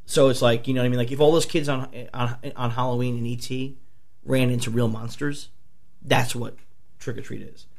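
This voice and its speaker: Gravelly hoarse man ranting about movies. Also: mid-range voice, brisk. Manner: excitable, swears often, many restarts. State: hoarse from a flu; a few bourbons in. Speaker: podcast host. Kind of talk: casual movie talk.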